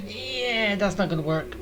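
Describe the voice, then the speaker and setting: A woman giving casual let's play commentary, with teasing asides at the game. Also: medium pitch. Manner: teasing asides, often laughing. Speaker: video game streamer. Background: game music.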